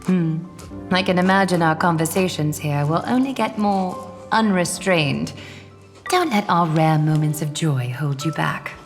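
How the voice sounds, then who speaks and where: A woman's voice: deep voice, measured pace. A woman voicing a genial, thoughtful hostess in a game, speaking in an articulate, musing way. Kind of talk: game voice acting. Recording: long hall reverb. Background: soft music.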